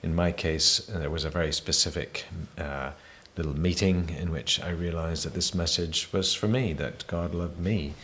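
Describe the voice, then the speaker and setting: A man who grew up in Spain, a missionary guest sharing his testimony in a measured, hesitant way with many fillers. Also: low voice, steady tone, a bit bright. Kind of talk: reflective personal testimony.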